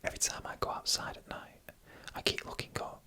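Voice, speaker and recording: A narrator whispering eerie first-person stories in ASMR style, in a hushed, deliberate, suspenseful manner.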